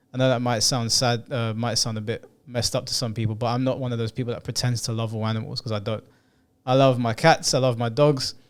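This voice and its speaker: A man's voice: medium pitch, smooth. Teasing laid-back young man. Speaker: co-host of a comedy channel. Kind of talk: casual bickering chat to camera.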